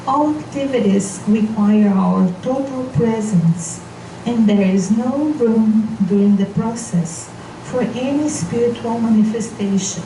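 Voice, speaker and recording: A woman whose first language is Portuguese, leading a spiritual seminar in English, speaking carefully and gently guiding her listeners. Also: fairly high pitch. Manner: slow, careful, gently guiding, with frequent restarts. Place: meeting hall of a spiritist society. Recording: echoey hall, voice from far off, noisy.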